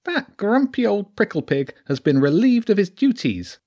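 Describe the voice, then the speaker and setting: A man voicing a sneering male weasel leader with a high voice and a slight rural southern English twang, taunting and menacing. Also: big pitch swings, normal speed, warm tone, exaggerated voice.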